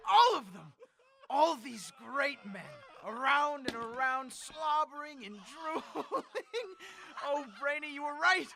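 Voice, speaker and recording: A man's voice: very high voice, gravelly, full character voice. A man playing a pompous, sneering, megalomaniacal dictator in old-time radio horror style. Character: megalomaniacal, murderous male dictator gloating madly.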